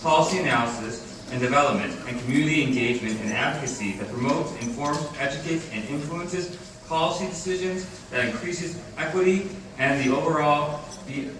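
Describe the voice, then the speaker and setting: Man reading an intro in an echoey hall. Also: mid-range voice. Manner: rapid list-like delivery with small stumbles. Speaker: event emcee introducing the speaker. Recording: echoey, distant mic, background noise.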